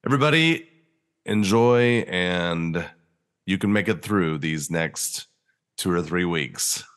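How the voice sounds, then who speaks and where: A man's voice: low voice, lively intonation, takes it slowly, a bit bright. A man speaking casually as the co-host of a podcast. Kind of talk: casual chat.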